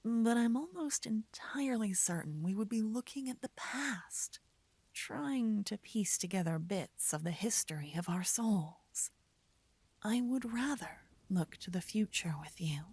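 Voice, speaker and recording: A devoted, playfully teasing fantasy adventurer , acted by a woman, speaks to her beloved in a soft, breathy voice that often slips into whispers. Her delivery is slow, intimate and soothing, in romantic ASMR roleplay style. The sound is very dry and close to the microphone. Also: medium pitch, expressive, no echo. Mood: devoted, earnest.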